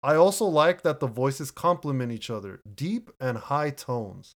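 Deep voiced guy reading reviews on his podcast, chill, laughs a lot, trips over words. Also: sing-song, unhurried. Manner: easygoing, laughs often, restarts words. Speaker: podcast co-host. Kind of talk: casual chat reading listener reviews. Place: home room, recording remotely.

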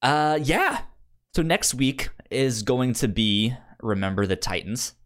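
Animated man chatting, often stumbling over words. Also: medium pitch. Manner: halting, repeats and stutters on words. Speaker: podcast co-host. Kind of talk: casual chat.

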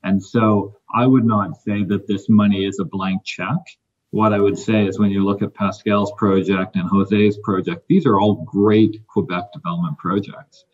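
A man speaking as a mining company executive on a panel, measured and businesslike. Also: fairly low pitch, fairly even intonation, lo-fi sound. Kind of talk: panel discussion.

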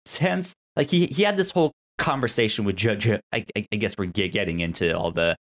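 Low-voiced man with a stutter. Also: brisk, fairly bright tone. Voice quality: stutters on words, repeating syllables. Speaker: podcast host. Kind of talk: casual discussion of a TV drama.

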